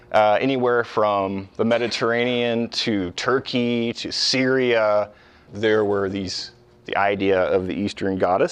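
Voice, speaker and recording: A man lecturing informally on ancient history as a professor, explaining in a conversational way with colloquial asides. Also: medium pitch.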